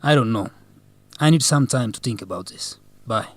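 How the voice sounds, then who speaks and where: A man's voice: mid-range voice, lively intonation, normal speed, a little hiss or hum, lightly acted. A man acting as a hurt, jealous young student boyfriend in a radio drama, earnest and reproachful. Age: young adult. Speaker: actor in an educational radio drama.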